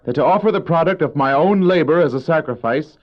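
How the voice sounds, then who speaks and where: High-voiced man acting proud, defiant Cain. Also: expressive, fast talker, close to an everyday voice. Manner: theatrical, argumentative, sarcastic. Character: Cain, proud, resentful, defiant farmer and elder brother. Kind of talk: religious audio drama acting. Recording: dull old cassette audio drama.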